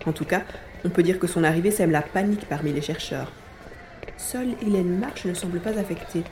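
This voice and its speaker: An actor playing Karl, an anxious, determined adventurer who tells the action as it unfolds in an audio drama. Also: male voice, high voice, brisk, background noise. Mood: wary. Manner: dramatic, expressive narrating of the action. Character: Karl, anxious adventurer man tracking captive friend, grumbling, determined. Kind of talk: dramatized audio drama with first-person narration. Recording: echoey room with faint music.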